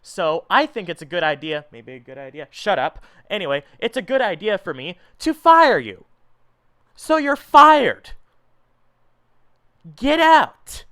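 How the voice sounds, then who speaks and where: A man's voice: high voice, very animated, moderate pace, sharp tone, dry recording, studio quality, heavily acted. A man voicing an irritable, bossy cartoon villain leader in a grumbling, exasperated manner.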